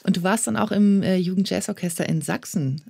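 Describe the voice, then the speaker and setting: A woman interviewer asking measured, well-prepared questions. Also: on the low side, gravelly, dark tone, slightly rough, no room sound.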